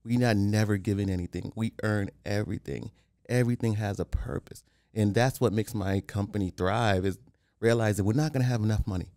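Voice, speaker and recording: A man from Haiti, a real estate developer talking as a podcast guest in a calm, plain-spoken way, often restarting. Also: fairly low pitch, a little fast, clear, resonant tone, no echo, studio quality. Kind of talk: casual interview.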